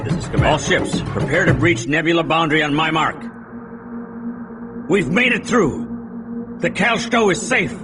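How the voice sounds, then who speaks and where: A man acts a resolute starship commander in a sci-fi game. He gives terse, authoritative orders in a slightly high, animated voice. Loud music plays behind him. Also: normal speed, pauses often, lightly acted. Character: commanding starship fleet officer, tense and resolute.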